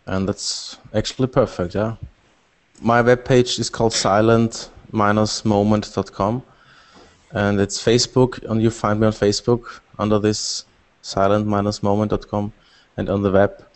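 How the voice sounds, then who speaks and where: A man from Austria with a low, even voice that barely rises or falls. He talks casually and haltingly, doubling back on his words. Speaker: landscape photographer. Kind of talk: casual self-introduction.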